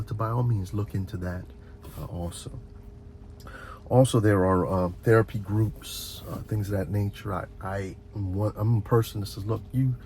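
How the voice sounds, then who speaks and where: Low, gravelly man, earnest and halting. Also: warm tone, a little hiss or hum. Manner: halting, earnest, repeats phrases for emphasis. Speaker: video host. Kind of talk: rambling, encouraging ASMR monologue.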